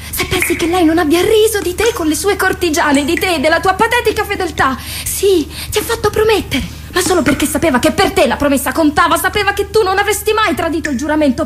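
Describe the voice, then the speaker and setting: An actress playing a protective, jealous dead woman in a radio drama, pleading and reproachful, with intense theatrical delivery. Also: extremely high pitch. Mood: bitter, scornful. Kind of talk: Italian radio drama acting. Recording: clearly noisy radio drama recording.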